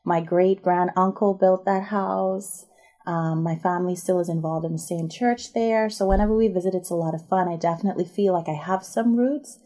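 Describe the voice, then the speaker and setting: A woman's voice: lowish voice, moderate pace, mellow. A woman in her thirties from the Bahamas, a podcast guest explaining her background in an articulate, upbeat way. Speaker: podcast guest, MBA student and barrister. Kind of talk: conversational interview.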